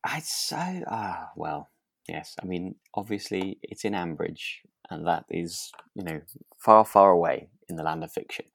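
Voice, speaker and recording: A podcast co-host in relaxed discussion, talking in a hesitant, softened way and given to chuckling. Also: low voice, unhurried, creaky, no room sound, clean studio sound.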